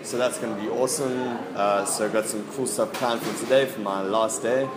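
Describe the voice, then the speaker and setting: A man's voice: lowish voice, fairly quick, reverberant, very noisy. A young man from South Africa, a travel vlogger in casual, upbeat chat. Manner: upbeat, relaxed, says "cool" and "yeah" often. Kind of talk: casual chat and vlog narration.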